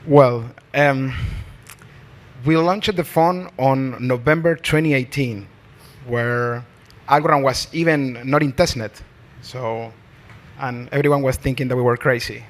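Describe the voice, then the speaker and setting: A man on a conference panel, speaking in a measured, plain way about his fund. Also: medium pitch. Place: conference stage.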